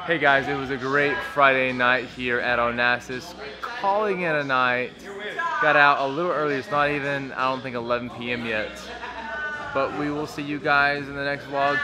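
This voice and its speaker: A restaurant owner closing out his vlog at night in a casual, friendly way. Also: mid-range voice. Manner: relaxed, friendly sign-off to viewers. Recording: music behind the voice.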